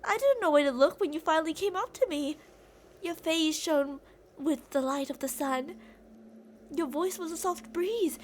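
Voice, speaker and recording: A woman's voice: sits high, normal speed, close to an everyday voice. A woman playing an obsessive, delusional young captor in an intimate, rambling ASMR roleplay monologue addressed to a captive. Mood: breathless longing.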